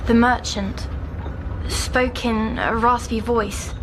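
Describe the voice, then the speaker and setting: A woman playing a timid young girl in an audio drama, haltingly recounting her nightmares in a hushed, haunted way. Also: a little high, steady tone, noisy background. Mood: uneasy, recalling. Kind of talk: audio drama acting, slow dreamy narration.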